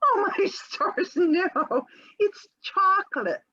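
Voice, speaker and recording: A woman plays a genteel, evasive housewife in a comic readers theater sketch, captured in dull, lo-fi audio. Her high voice swoops and flutters through theatrical, over-polite excuses. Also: lively intonation, takes it slowly, pushed and bright, full character voice. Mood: flustered.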